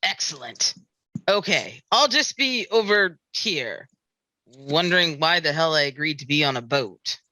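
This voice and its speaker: Guy doing a jittery sheriff, wry, lively highish voice, super dry close sound. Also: a little slow, no echo, full character voice, low-quality recording. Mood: queasy dread. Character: nervous, reluctant sheriff who hates the sea. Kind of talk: amateur script table read.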